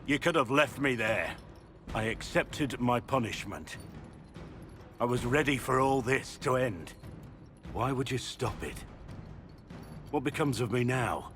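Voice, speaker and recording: An actor playing a remorseful middle-aged warrior prisoner in a dramatic video game, solemn and heavy-hearted. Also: male voice, mid-range voice, lively intonation, unhurried, pauses often, a bit bright, slight background noise. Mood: resigned.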